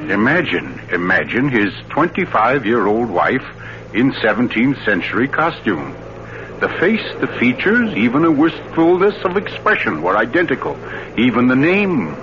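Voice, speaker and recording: A man's voice: mid-range voice, big pitch swings, moderate pace, noisy background, close to an everyday voice. An actor playing an urbane, talkative male mystery writer in a suave, theatrical 1940s radio style. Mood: dramatic, suspenseful. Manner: suave, leisurely, storytelling. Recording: muffled vintage 1940s radio recording, music behind.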